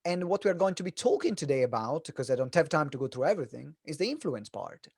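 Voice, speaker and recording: A man's voice: a little high, sing-song, fast talker, gravelly, darkish tone, breathy edge, no room sound, studio quality. A man from Italy with an Italian accent gives an informal, joking talk to an online audience, telling stories as a business coach. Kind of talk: animated presentation to an online audience. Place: online event.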